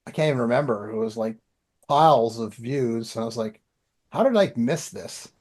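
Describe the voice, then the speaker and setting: A Canadian man co-hosts a casual podcast, sharing personal updates. His voice sits at a medium pitch, and he rambles along in a self-deprecating, conversational way. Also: expressive, normal speed, darkish tone. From Ottawa area, Canada. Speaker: podcast co-host and author.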